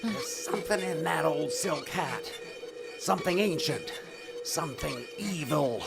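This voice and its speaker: An actor playing a desperate, guilt-ridden middle-aged father and professor in an audio drama, halting and pleading. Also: fairly high pitch, animated, vocal fry, loud music behind the voice. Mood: grave, anxious.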